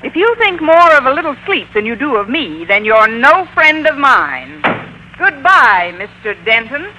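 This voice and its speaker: An American actress playing a wry, long-suffering schoolteacher with dry, sardonic delivery in an old-time radio comedy. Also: female voice, high-pitched, big pitch swings, unhurried. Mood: dramatically wounded. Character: wry, wisecracking adult woman schoolteacher, lovelorn and long-suffering. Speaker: lead actress and narrator. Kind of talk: 1940s-50s radio comedy acting. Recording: vintage radio recording with faint noise.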